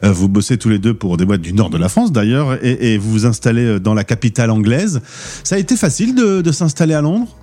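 A man's voice: medium pitch, lively intonation, brisk, mellow. A man hosting a radio show, chatty and upbeat, linking songs and interviewing a guest. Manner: upbeat, chatty presenter with playful links. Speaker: radio host.

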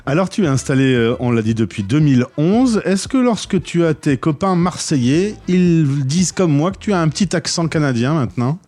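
A man from France interviewing a guest, asking brisk, teasing questions. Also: fairly high pitch, animated, darkish tone. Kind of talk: radio interview.

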